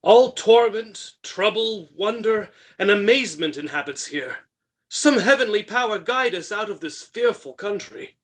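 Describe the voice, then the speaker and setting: A man's voice: high-pitched, expressive, a little slow. A man reading the part of a grieving, bewildered shipwrecked king in an unrehearsed Shakespeare play, with dramatic declamation. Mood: bewildered, fearful.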